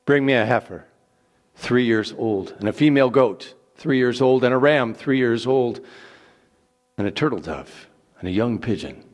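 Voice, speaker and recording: A man's voice: medium pitch, animated, normal speed, in a large hall, lightly acted. A man voicing God in a dramatic scripture reading, solemn, authoritative and emphatic in his declarations. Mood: solemn, commanding. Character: God, solemn authoritative male giving promises and commands.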